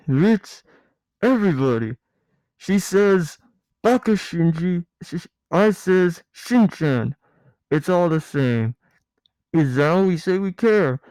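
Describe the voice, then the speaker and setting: Man voicing a high, slurred tipsy woman. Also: animated, takes it slowly, mellow, clear, resonant tone, dry recording, poor audio. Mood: drunken insistence. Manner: rambling, emphatic. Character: tipsy drowsy woman guardian, slurred, teasing, rambling.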